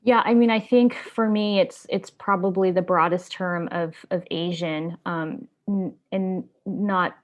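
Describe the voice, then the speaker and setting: A woman's voice: fairly low pitch, steady tone, unhurried, few pauses. A woman with an other than English, speaking as an interview guest about her life, thoughtful and often repeating words as she searches for them. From grew up in Japan and Indonesia. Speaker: interview guest, community activist. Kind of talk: casual interview talk.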